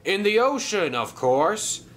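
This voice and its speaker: A man voicing a gruff, grumpy dock crane with a careless, muttering, dismissive manner in a children's story. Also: high-pitched, sing-song, takes it slowly, a bit bright, pitched above the performer's own voice, heavily acted. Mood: indignant.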